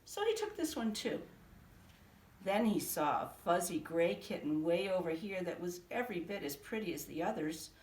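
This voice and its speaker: Woman reading a picture book aloud, sing-song, lively pitch, roomy echo, off-mic. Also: normal speed, far from the mic. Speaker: storybook reader.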